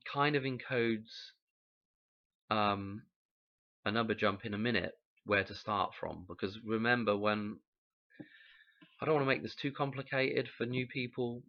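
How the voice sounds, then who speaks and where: A man, croaky from a cold, rambling through a casual explanation and often checking in with "okay?". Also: fairly low pitch, muffled recording. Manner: rambling, musing, frequent "okay?" check-ins. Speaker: channel host explaining number codes.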